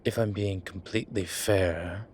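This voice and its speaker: A man playing a slow, low-voiced courteous incubus. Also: fairly even intonation, a little hiss or hum. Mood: flirtatious, teasing. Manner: hesitant, polite, formal wording with pauses. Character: courteous, flirtatious male incubus demon, restrained and apologetic. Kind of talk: intimate roleplay audio drama.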